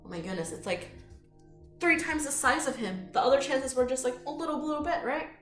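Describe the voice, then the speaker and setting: A woman reading a picture book aloud to children in an expressive, inviting way, with friendly chatty asides. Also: sits high, brisk, soft background music. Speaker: children's storytime reader. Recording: roomy echo.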